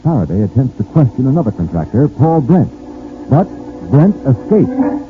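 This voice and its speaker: A man's voice: medium pitch, huge pitch swings, moderate pace, noisy background. A man narrating as a dramatic, scripted 1940s radio announcer. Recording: tinny 1940s radio recording. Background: music.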